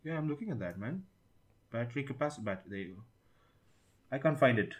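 A man's voice: medium pitch, lively intonation, quick pace. A man with an Indian accent hosting a casual tech podcast in an informal, sweary way. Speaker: podcast host.

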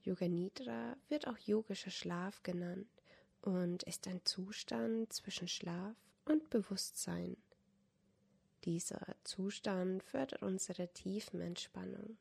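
A woman softly guiding a yoga nidra meditation in a breathy, whispery voice, calm and unhurried, with long pauses. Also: mid-range voice. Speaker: yoga nidra guide, podcast host. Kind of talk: guided meditation, soft instruction.

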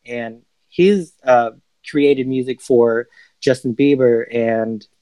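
A man, a music producer, talking as a podcast guest in relaxed casual answers, with many ums. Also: mid-range voice, takes it slowly, clear, resonant tone, dry recording.

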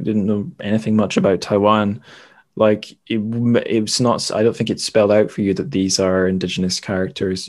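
A man's voice: fairly low pitch, darkish tone. A man hosting a casual book discussion, informal and tentative, often qualifying what he says. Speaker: podcast host.

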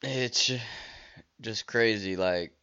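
A man's voice: lowish voice, slow, bright, forceful tone, clean tone, dull audio. A man hosting a casual solo podcast, rambling with many restarts and fillers while he is losing his voice. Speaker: podcast host.

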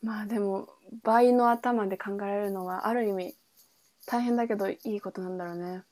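A woman's voice: mid-range voice, steady tone, brisk, darkish tone. A woman co-hosting a radio-style podcast in a casual, conversational style. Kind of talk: casual conversational book chat.

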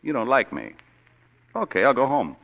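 An actor playing a dry, wisecracking private detective who also narrates, in a 1940s radio drama. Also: male voice, medium pitch, sing-song, moderate pace. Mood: wry. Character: wisecracking hard-boiled male private detective. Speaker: narrator and lead. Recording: dull old radio broadcast with faint music.

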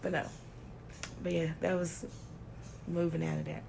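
A woman's voice: deep voice, little pitch movement, moderate pace, gravelly, background noise. A young woman co-hosting a podcast, telling stories with lots of ums and laughter in casual chat. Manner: laughing, storytelling with many ums. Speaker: podcast co-host.

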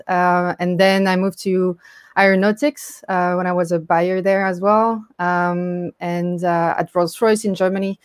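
A woman's voice: lowish voice, clean tone, no echo, clean studio sound. A woman from France with a French accent, answering interview questions as a startup founder on a video call, with many fillers and restarts. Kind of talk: online interview talk.

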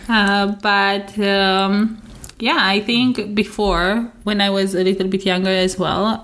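Chatty giggly woman co-host. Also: medium pitch. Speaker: podcast co-host. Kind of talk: casual chat.

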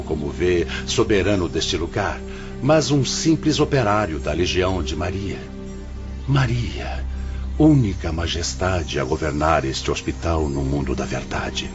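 An actor playing a serene, humble older male spirit who directs an afterlife hospital in a religious radio drama, speaking solemn, formal, patient Brazilian Portuguese. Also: low voice.

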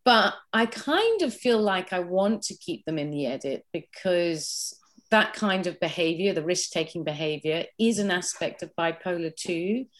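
A woman presenting a podcast, speaking in a measured, considered and slightly tentative conversational way. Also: on the low side, animated. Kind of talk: reflective discussion and introduction.

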